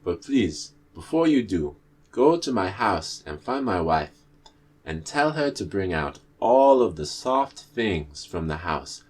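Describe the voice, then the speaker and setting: A man's voice: low-pitched, big pitch swings, slow pace, darkish tone, husky, heavily acted. A man voicing a sly, greedy male tortoise trickster who wheedles and pleads, stammering as he begs. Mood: pleading.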